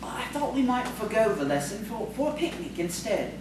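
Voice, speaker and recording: A man's voice: sits high, sing-song, normal speed. Man acting a nervous schoolteacher, echoey and distant. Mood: shy, hopeful. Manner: hesitant, stammering, fussily polite. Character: nervous, lovesick, easily frightened schoolteacher man. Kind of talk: audio theatre acting. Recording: echoey room, distant mic, clear noise.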